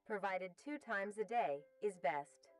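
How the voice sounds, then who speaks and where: A woman playing a calm, informative narrator of a pet-care guide, speaking in a measured, instructional way. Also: medium pitch, recorded from a distance, soft background music, natural voice.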